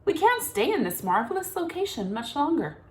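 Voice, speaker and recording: A woman's voice: sits high, big pitch swings, a little fast, voice pushed up. A woman reading aloud as a long-winded, grandiose father swan in a children's story, speaking with theatrical grandeur. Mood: grandiloquent.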